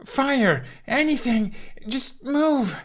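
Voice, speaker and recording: A man's voice: very high voice, lively intonation, very slow, pitched above the performer's own voice, full character voice. A man voicing a desperate, defiant teenage boy who cries out for his dragon in an audiobook. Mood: desperate pleading. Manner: yelling, crying out, impassioned. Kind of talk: audiobook character acting.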